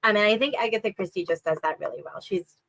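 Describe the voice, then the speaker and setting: A woman's voice: fast talker, gravelly. A woman co-hosting a reading stream in casual chat, talking chattily with lots of fillers and a slightly stuffy nose. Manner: chatty, lots of "like" and "um", lively rises in pitch. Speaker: co-host of a reading sprint stream.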